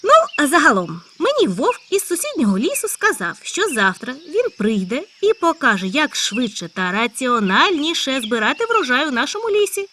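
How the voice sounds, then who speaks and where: A woman voicing an excitable, chatty male hare in a storybook tale, talking high and loud. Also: moderate pace, hardly any pauses, slight background noise, full character voice. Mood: eager. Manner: eager, exclaiming, high and loud. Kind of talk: storybook character voice.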